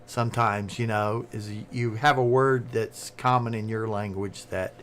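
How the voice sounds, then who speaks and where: A man's voice: on the low side, steady tone, a bit bright, slight background noise. Rambling man with a Southern American accent. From southern United States. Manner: fast-talking, rambling. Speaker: live streamer. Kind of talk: casual chat.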